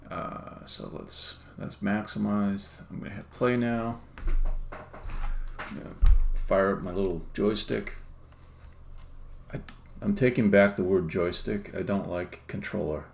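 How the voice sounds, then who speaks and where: A man giving casual live-stream commentary as a game developer, explaining things in a rambling, halting way. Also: on the low side, creaky, a little hiss or hum.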